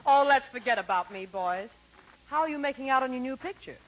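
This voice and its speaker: A woman's voice: high voice, lively intonation, a little fast, slight background noise. A woman with an English accent delivering scripted 1940s radio comedy banter. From England. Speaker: singer and cast member on a radio show. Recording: dull, band-limited 1940s radio recording.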